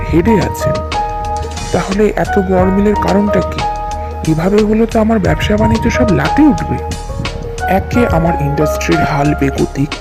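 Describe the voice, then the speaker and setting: A man's voice: high voice, creaky. A man playing a rich, womanising, harried company boss in a cartoon story. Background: music.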